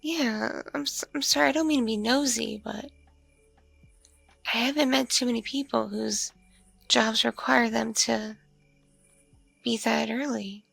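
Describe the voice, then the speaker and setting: A woman playing a mature, flirtatious, spacey female vampire in an ASMR roleplay, speaking softly and hesitantly, as if in a one-sided intimate conversation. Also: a little high, steady tone, creaky, slightly rough, no room sound, soft background music, exaggerated voice. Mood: curious, teasing. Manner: hesitant, murmuring, lingering pauses with ums and mms. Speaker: roleplay voice performer.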